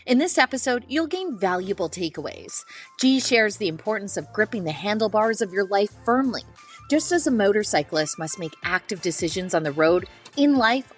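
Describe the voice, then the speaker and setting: An upbeat woman co-hosting a podcast moves from a polished scripted intro into playful, easygoing questions. Her voice sits at a middle pitch, and faint music sits beneath it. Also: fairly bright tone. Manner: upbeat, playful, occasionally swears. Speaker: podcast co-host. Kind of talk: scripted intro, then casual interviewing.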